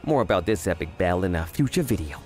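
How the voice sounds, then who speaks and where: A man's voice: low-pitched, animated, fast talker, a little mellow, faint music behind. An actor playing a cocky, chatty young male yakuza who narrates in a scripted anime dub. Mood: explaining.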